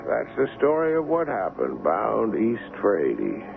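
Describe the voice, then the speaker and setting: Sceptical ship's doctor, lowish creaky voice, conversational storyteller, vintage radio drama, tinny sound. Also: male voice, wildly swooping pitch, a little slow, heavily acted. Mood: reflective. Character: sceptical male ship's doctor telling his sea story. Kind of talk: 1970s radio drama acting and first-person narration. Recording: tinny old radio broadcast with music.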